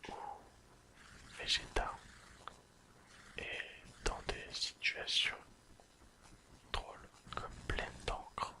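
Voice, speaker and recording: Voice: very slow, long pauses, poor audio. A person whispering an ASMR episode recap in a careful reading manner. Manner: careful reading, apologises and corrects slips. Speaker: ASMR video creator.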